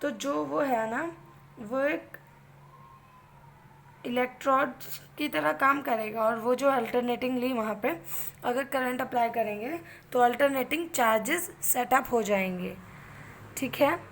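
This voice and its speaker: A woman's voice: sits high, a little slow, a little hiss or hum. A woman with an Indian accent giving an explanatory lecture as an instructor, mixing two languages and often checking in with the listener. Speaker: physiotherapy instructor.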